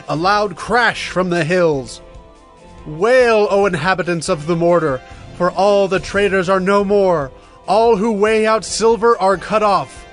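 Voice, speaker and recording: An actor playing a stern, urgent Hebrew prophet of doom in a solemn, emphatic dramatized scripture reading. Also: male voice, fairly high pitch, lightly acted. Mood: stern warning. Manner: solemn, emphatic, deliberate oratory. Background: music.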